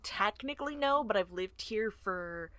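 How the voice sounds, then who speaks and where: A woman with a soft Southern US accent, co-hosting a casual book podcast, blunt and swearing freely. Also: mid-range voice, expressive, measured pace, dry recording. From southern United States. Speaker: podcast co-host. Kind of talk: casual book-discussion chat.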